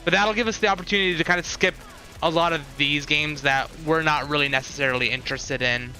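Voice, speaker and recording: Bright-voiced man chatting on a stream. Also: a little high, steady tone, fast talker, faint music behind, low-quality recording. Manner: thinks aloud, chatty with viewers. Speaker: live streamer. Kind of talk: casual live stream reaction chat.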